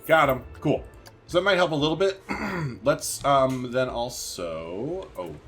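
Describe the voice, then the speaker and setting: A man's voice: mid-range voice, expressive, slow pace, fairly bright tone, faint music behind. A man giving casual let's-play commentary as a gaming video host, thinking aloud in informal, slangy language.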